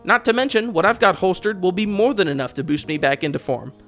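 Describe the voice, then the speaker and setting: Animated, expressive game commentator over music. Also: medium pitch, fast. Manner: sarcastic, exasperated, swearing rant. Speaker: video essayist, channel host. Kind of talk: opinionated video game commentary.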